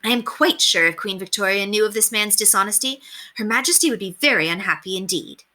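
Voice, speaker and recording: A woman's voice: medium pitch, a little fast, sharp tone. A woman voicing a prim, earnest young governess who speaks formally and properly in a storybook reading. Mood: indignant.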